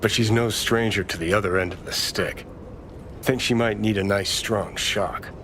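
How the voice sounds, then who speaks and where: A cynical, rough-edged rebel in a video game. His low voice is sardonic and crude, with faint ambience behind it. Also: fairly even intonation, normal speed, a bit bright, a little hiss or hum. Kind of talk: video game cutscene acting.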